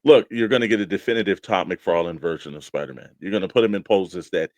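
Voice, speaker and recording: A man's voice: sits low, fast, a little mellow, no room sound. A man co-hosting a hobby show, talking casually and explaining his opinions at length. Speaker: co-host of a toy collecting show.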